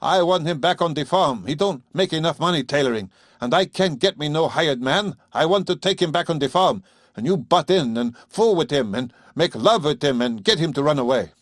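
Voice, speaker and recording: A man voicing a gruff, bullying old farmer with a whining, rough country dialect. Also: a little high, lively intonation, normal speed, no echo, clean studio sound, voice pushed up. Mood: angry, sneering.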